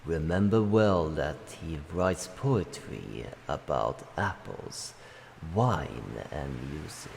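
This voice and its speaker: Guy doing a big old dragon guardian, really low n gravelly, calm formal talk, slow roleplay monologue. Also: full character voice. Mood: calm, fond. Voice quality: deep, gravelly. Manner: slow, measured, formal phrasing. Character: ancient male dragon guardian, solemn, calm, kindly. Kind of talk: fan roleplay monologue addressed to the listener. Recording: long cavernous reverb, faint background noise.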